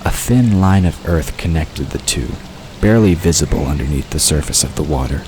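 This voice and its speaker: Very deep-voiced male story narrator. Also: lively intonation, moderate pace. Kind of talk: scripted fiction narration. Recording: clear ambient noise under voice.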